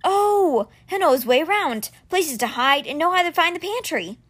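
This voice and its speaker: A woman voicing an overwhelmed teenage boy, talking high and strained. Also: animated, moderate pace, a bit bright. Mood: anxious.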